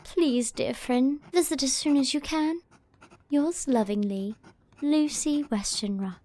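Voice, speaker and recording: A woman playing a sweet, excitable young Victorian lady who speaks politely and effusively in an audio drama. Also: high voice, slow. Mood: wistful, dramatic. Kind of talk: audio drama letter narration and dialogue.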